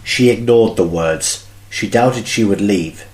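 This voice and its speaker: A man's voice: fairly low pitch, slow, a little mellow, echoey room, poor audio. A man reading scripted story narration for an audio drama. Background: music.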